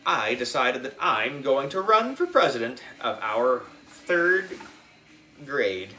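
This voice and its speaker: A man voicing a bossy, self-important third-grade girl in a children's storybook, loud and declaiming. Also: mid-range voice, big pitch swings, takes it slowly, faint music behind, full character voice.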